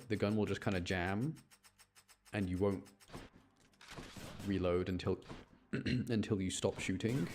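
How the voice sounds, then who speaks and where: A man's voice: low voice, darkish tone. A man doing casual, chatty live gameplay commentary, explaining mechanics and muttering to himself, with game sound behind him. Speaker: game streamer. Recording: clear background noise, game sounds behind. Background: video game sound.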